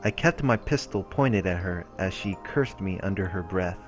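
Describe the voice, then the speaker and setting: Male let's play host, low voice, reading story narration with casual asides over clear game music. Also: normal speed.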